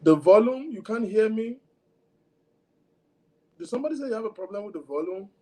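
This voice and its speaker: A man's voice: high-pitched, lively intonation, brisk, darkish tone, low-quality recording. A man with a Nigerian accent hosting a casual livestream, rambling and talking straight to his viewers, with what he calls a big voice. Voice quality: self-described big voice. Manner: rambling, code-switching, chatty, talks to viewers directly. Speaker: livestream host.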